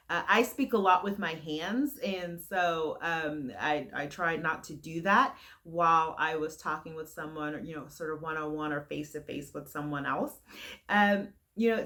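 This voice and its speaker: A young adult woman giving frank, earnest advice to camera in a heavy, loud voice that carries. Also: lowish voice. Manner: frank and earnest, with fillers and restarts. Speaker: vlogger giving career advice.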